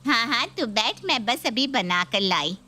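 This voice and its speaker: A woman's voice: a little high, animated, a little slow, sharp tone, exaggerated voice. A woman playing a middle-aged mother-in-law who is fawning, anxious and nagging, in a dramatised story. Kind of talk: dramatised Hindi story video.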